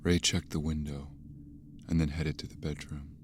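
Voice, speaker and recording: An actor narrating an unsettling domestic horror audio drama in a measured, deliberate voice. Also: male voice, extremely low pitch, flat delivery, slight background noise. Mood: ominous, calm.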